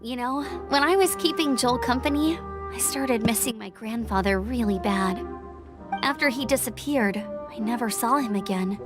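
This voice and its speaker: An actress playing a cheerful, earnest young female scout knight in a fantasy game, chirpy, expressive and informal. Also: on the high side, close to an everyday voice. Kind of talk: fantasy video game dialogue. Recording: game audio with clear background music.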